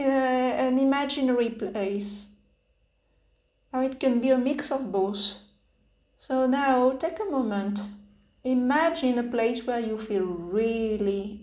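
A woman leading a guided meditation with spoken instructions in halting phrases, pausing often between them. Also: sits high, a little mellow. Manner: hesitant, halting phrases with long pauses. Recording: roomy, echoing sound.

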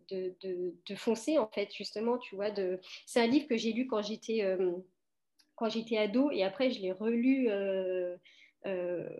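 A woman's voice: mid-range voice, mellow. A middle-aged woman talking casually and reflectively, with many fillers and restarts. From France. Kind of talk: casual conversation.

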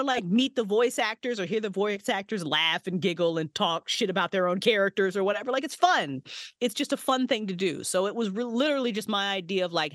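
A woman's voice: medium pitch, no room sound, studio quality. A woman guest on a podcast, talking casually and bluntly with frequent swearing. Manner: blunt, profane, animated. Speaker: podcast guest, audio drama creator. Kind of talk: casual interview chat.

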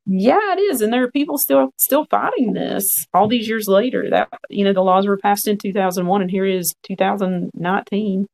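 A man in his forties speaks with a gravelly, fry-laden voice. He tells his story as a podcast guest in a frank, earnest way, often voicing his own past thoughts. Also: sits high, a little fast, few pauses, low-quality recording. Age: 40s. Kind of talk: conversational storytelling.

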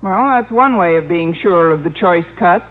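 An actress playing a wry, sensible single schoolteacher in a radio sitcom, narrating and talking with dry wisecracks. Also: female voice, fairly low pitch, moderate pace, loud music behind the voice, lightly acted. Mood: incredulous, dry. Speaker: star and narrator of the comedy. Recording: muffled old radio recording, studio audience.

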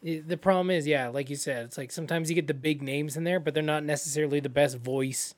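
A man with a fairly high voice talks films in loose, animated conversation. He fills his sentences with "like" and restarts. His pitch leaps up when he gets excited. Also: fast. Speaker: podcast co-host. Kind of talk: casual chat about films.

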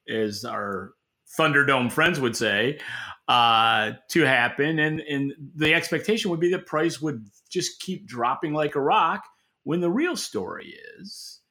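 Bright, forceful man with wry delivery. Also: on the high side, creaky. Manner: wry, dry-humored, opinionated. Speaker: podcast co-host and market analyst. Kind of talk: conversational opinionated commentary.

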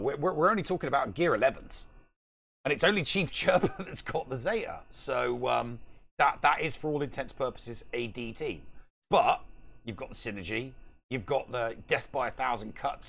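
A man's voice: mid-range voice, gravelly, a bit bright. A man co-hosting a casual gaming podcast, speaking emphatically and repeating phrases for emphasis. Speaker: podcast co-host.

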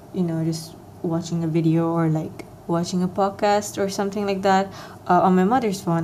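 A young woman hosting her own podcast, chatting casually and rambling with lots of fillers. Her voice is soft and soothing, a little raspier than usual this morning. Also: mid-range voice, slight background noise. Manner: rambling, filler-heavy, self-deprecating. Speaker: podcast host. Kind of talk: casual solo podcast chat.

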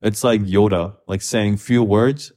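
A man's voice: on the low side, fairly even intonation, unhurried, warm tone. A man from Korea co-hosting a podcast, talking frankly and loosely with restarts. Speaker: podcast co-host. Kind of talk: casual conversational podcast.

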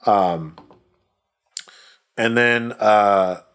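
A man's voice: fairly low pitch, very slow, fairly bright tone, clear, resonant tone. A man co-hosting a podcast, recapping a film in casual, joking, sweary talk. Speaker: podcast co-host.

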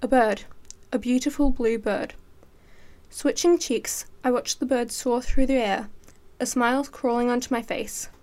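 High-pitched teenage girl reading a story. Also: steady tone, a little slow, darkish tone. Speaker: student writer reading own story. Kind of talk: dramatic reading of a first-person story.

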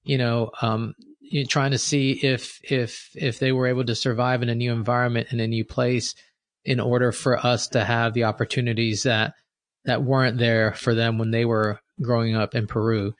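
A man's voice: medium pitch, flat delivery, normal speed, no room sound, clean studio sound. A man from New York hosting an interview, speaking in a reflective, personal way. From United States (New York). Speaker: podcast host. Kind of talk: interview conversation.

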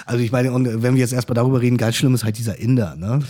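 A man's voice: medium pitch, fairly even intonation, very fast, mellow. A man co-hosting a casual chat show, hesitant and hedging as he explains how the shows work. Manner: hesitant, hedging with "irgendwie" and "glaub ich". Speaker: podcast co-host. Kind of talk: casual chat about reality TV.

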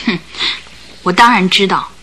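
An actress playing a bitter, anguished circus owner's wife in a Chinese radio drama, speaking with dramatic emphasis. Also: female voice, medium pitch, unhurried, bright, forceful tone, slightly rough, rough recording. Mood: cold, resentful.

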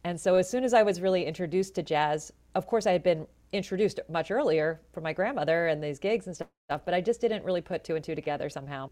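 Low-voiced woman telling lively stories. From Florida, USA. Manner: animated, chatty storyteller with lively asides. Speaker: jazz vocalist and educator, guest. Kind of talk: conversational interview storytelling.

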